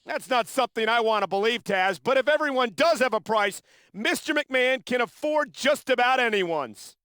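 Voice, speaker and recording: High-pitched wrestling announcer. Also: male voice, lively intonation, moderate pace, sharp tone, smooth, no echo, full character voice. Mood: concerned. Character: concerned, excitable male wrestling announcer. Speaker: play-by-play wrestling commentator. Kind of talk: sports commentary in a video game.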